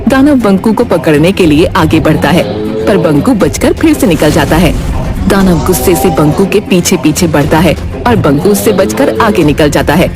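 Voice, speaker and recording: A woman's voice: lowish voice, lively intonation, normal speed, over music. A woman storyteller giving scripted narration of a Hindi tale.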